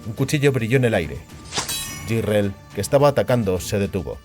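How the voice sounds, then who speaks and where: Guy reading a fantasy audiobook, dramatic delivery, really animated, faint music behind him. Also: lowish voice, a little fast. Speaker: audiobook narrator. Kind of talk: dramatic scripted narration of fantasy fiction. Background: background music.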